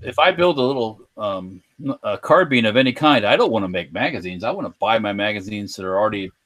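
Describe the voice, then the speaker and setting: A man's voice: fairly low pitch, lively intonation, fast, fairly bright tone. A man co-hosting a podcast, chatting casually and hesitantly, often trailing off. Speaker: podcast co-host. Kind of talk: casual chat about firearms.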